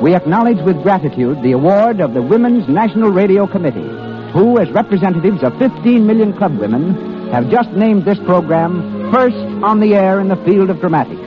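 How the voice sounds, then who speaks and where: A man's voice: high-pitched, lo-fi sound. A man announcing a 1930s radio show in a formal, declamatory style. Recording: band-limited vintage radio with loud music. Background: music.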